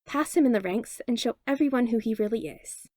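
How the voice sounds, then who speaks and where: Lady reading as a soft-spoken caring mom, kinda high voice, dry close sound. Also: brisk, no room sound, natural voice. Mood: firm, reassuring. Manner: gentle, soothing. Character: warm protective mother, adult woman.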